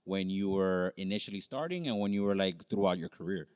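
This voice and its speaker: A man hosting a podcast interview, relaxed and slangy, asking questions and giving frequent small acknowledgments. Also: low-pitched, fairly quick, clear, resonant tone. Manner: relaxed, slangy, backchannels a lot. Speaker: podcast host. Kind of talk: casual interview.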